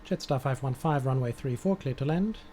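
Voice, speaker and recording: A man playing air traffic controller on a live stream, giving measured procedural clearances with casual asides. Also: medium pitch, dark tone.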